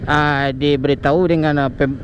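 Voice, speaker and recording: A man's voice: flat delivery, normal speed. A man with a Sumatran Indonesian accent chatting casually as a motovlogger out on a night ride. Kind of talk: casual chat while riding. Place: outdoors on a motorbike at night beside traffic. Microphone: motorbike intercom or phone headset. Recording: loud engine and traffic noise. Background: loud motorbikes, traffic and outdoor rumble.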